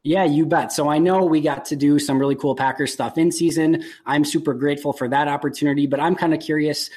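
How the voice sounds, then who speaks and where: A young man hosting a sports podcast, upbeat and eager, introducing a guest and asking relaxed questions. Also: on the high side, a little fast, breathy edge. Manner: upbeat, eager, says "really" a lot. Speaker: podcast host.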